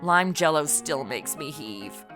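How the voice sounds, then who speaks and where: A woman's voice: deep voice, expressive, takes it slowly, a bit bright, faint music behind, heavily acted. A woman with an American accent playing a brash, boozy modern party girl, bantering and narrating in a comedy audio drama. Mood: tipsy, snarky. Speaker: lead of the show.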